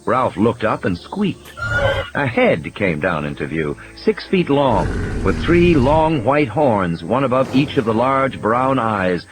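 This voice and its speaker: A man's voice: medium pitch, sing-song, takes it slowly, background noise. A man reading scripted fiction as an audiobook narrator, in a dramatic storytelling style, with music and sound effects behind him.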